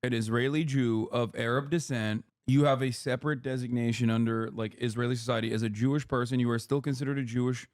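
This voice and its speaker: A man in his thirties streaming live, explaining history in a rapid, lecturing way with many fillers and restarts. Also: medium pitch, fairly even intonation, a little mellow. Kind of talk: casual livestream explanation.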